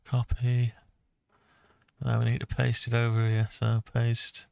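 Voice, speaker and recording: A man thinking aloud and muttering to himself through an unscripted software walkthrough. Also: mid-range voice, completely flat delivery, unhurried, gravelly, no echo. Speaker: hobbyist tutorial maker.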